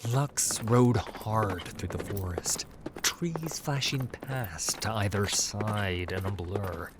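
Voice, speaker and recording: A man with a fairly low voice narrates dark fantasy in a dramatic, scripted style. His storytelling is slow, measured and ominous, with clearly audible atmospheric ambience behind it. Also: background noise. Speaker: audiobook narrator.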